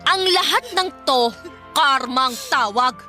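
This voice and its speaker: A woman's voice: high-pitched, animated, slow, pushed and bright, faint music behind, exaggerated voice. A Filipino woman playing a vengeful young daughter in a radio drama, taunting her father's mistress with sarcasm and scorn. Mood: scornful taunting.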